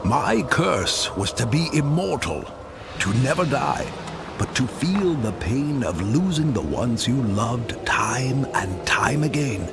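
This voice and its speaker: An actor playing an ancient immortal warrior in a cartoon game, solemnly telling his tale in a grave, measured, wistful voice over music. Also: medium pitch, big pitch swings, creaky, full character voice. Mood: regretful, weary. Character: immortal ancient warrior, regretful and weary, recounting his curse. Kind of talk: solemn dramatic storytelling. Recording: loud music under the voice.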